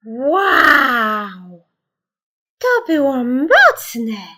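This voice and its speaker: A woman plays an excitable little girl, easily scared, in a children's story. The voice is high and bright, with animated swings and an anxious, exclaiming manner. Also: very slow, full character voice. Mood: thrilled, scared. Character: frightened, excitable young girl at a sleepover.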